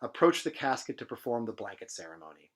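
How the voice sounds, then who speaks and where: A man with a mid-range voice reads a novel aloud. His delivery is expressive and dramatic, with emphatic phrasing. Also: mid-range voice, fast. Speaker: presenter reading a novel aloud. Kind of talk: expressive literary reading.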